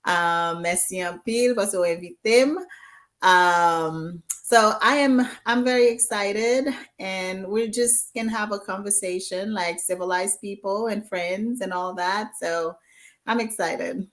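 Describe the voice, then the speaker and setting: A woman's voice: medium pitch, a little slow, a bit bright. A woman in her forties from Haiti, a guest chatting casually on an online show and moving between English and Haitian Creole with many ums. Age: 40s. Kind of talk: casual interview chat.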